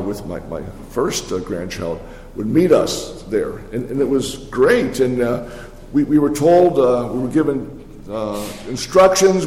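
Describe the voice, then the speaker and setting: An older man speaking as a pastor, giving a folksy, conversational sermon with halting, repeated words in a large reverberant hall. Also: medium pitch, darkish tone. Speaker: pastor preaching. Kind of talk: sermon with folksy personal storytelling. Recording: echoey hall with long reverb.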